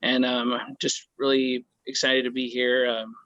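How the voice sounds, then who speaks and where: A man with an American accent talking casually and haltingly as a panelist, on dull lo-fi call audio. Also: mid-range voice, little pitch movement, bright, forceful tone, no room sound. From California, United States. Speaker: roundtable panelist, theater performer. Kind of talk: casual roundtable talk.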